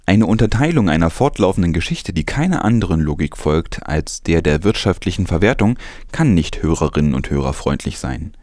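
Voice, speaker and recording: A man's voice: low-pitched, a little mellow, no echo, studio quality. A man presenting scripted news with some commentary.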